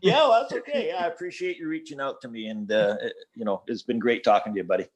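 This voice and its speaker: A man's voice: mid-range voice, fast talker. Older man, folksy and self-deprecating. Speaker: interview guest, hobbyist builder. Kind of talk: casual chat.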